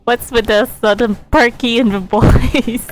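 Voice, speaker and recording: A woman streaming a game with casual, halting commentary, giggly and thinking aloud. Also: a little high, steady tone, slight background noise.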